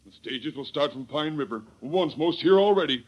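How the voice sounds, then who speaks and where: A crusty, grumbling boss of a western stagecoach office, speaks in a folksy, irritable drawl. His voice sits a little high, acted in 1930s radio style on a dull, lo-fi recording. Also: expressive, normal speed. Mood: alarmed.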